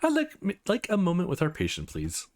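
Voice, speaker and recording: A man's voice: high voice, wildly swooping pitch, fairly quick, pitched above the performer's own voice. Man reading Sophie, a brusque woman doctor.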